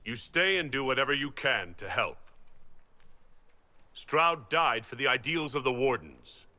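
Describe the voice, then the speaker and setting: An actor playing a resolute leader in a fantasy video game, speaking firmly and formally in measured phrases. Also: male voice, mid-range voice, lively intonation, sharp tone. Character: commanding, resolute young leader of a holy war effort.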